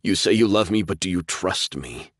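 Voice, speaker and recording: A man's voice: sits low, expressive, moderate pace, no room sound, studio quality. A man voicing a determined young man who speaks earnestly and insistently, in an audiobook. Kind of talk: audiobook character voice.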